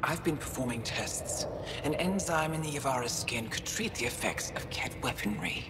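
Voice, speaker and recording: A composed alien researcher makes her case in even, measured sentences. A long reverb trails each line and a noticeable hiss of ambience sits behind her. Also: very deep voice. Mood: persuasive. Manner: measured, persuasive. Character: calm alien woman scientist poacher. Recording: reverberant game audio with hiss.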